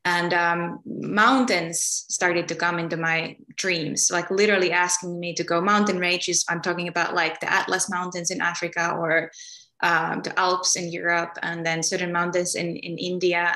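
A woman from Finland talks through an interview. Her voice is a little low but bright and clear. She often pauses to search for words and restarts her sentences. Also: flat delivery. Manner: soft-spoken, searching for words with many ums and restarts. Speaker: guest, energy healer. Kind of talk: relaxed spoken interview.

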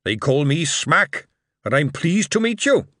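A huge troll soldier, as a man reads him, asks plain questions in a bewildered, friendly way. His voice moves freely in pitch. The recording is close and dry. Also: very animated, unhurried, a bit bright, no echo, exaggerated voice. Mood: puzzled friendly. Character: big male troll soldier, puzzled and friendly.

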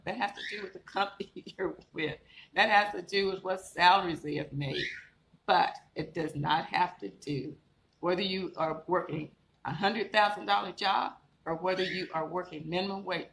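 A woman's voice: medium pitch, vocal fry, a bit bright. A woman minister giving a live-streamed sermon, encouraging and repetitive, building up to emphatic declarations. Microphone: live stream setup. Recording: echoey room with faint background noise.

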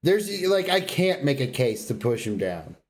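A man's voice: mid-range voice, sing-song, a little slow. A man co-hosting a podcast with casual, slangy, laid-back banter. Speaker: podcast co-host.

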